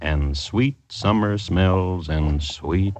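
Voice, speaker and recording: A man's voice: deep voice, drawn-out words, darkish tone, slight background noise. A man narrating a scripted old-time radio drama as a storyteller, with a wry, literary manner. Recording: muffled vintage radio recording. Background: music.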